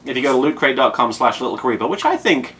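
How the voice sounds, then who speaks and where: A man's voice: medium pitch, sing-song, fast, fairly bright tone. A British man in his thirties hosting a casual unboxing vlog, rambling in a playful, mock-serious way with emphatic repetition. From Britain. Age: 30s. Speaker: unboxing video host. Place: indoors at home in an apartment. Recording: clear background room noise.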